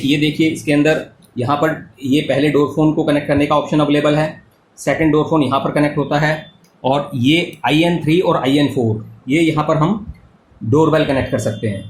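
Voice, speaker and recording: A man with an Indian accent giving a step-by-step explanatory product tutorial, repeating key points as he goes. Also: on the high side. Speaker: product demonstrator. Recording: echoey room with clear background noise.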